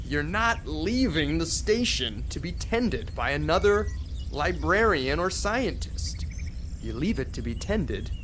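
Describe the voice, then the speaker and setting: An actor playing a brash, cynical space drifter, blunt and sarcastic, in a sci-fi audio drama. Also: male voice, on the high side, big pitch swings, takes it slowly, fairly bright tone, a little hiss or hum, full character voice. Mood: indignant.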